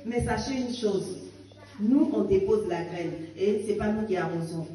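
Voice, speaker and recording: A woman's voice: mid-range voice, a little slow, dark tone. A woman in her fifties giving a fervent testimony and exhortation in church, with emphatic rising bursts. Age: 50s. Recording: echoey church hall picked up from a distance.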